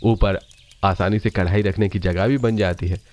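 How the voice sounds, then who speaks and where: Steady low male story narrator. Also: normal speed.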